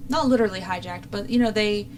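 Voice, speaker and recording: A woman's voice: mid-range voice, fast, slight background noise. A young adult woman giving opinionated, casual political commentary as a talk show host, in an exasperated, sarcastic manner.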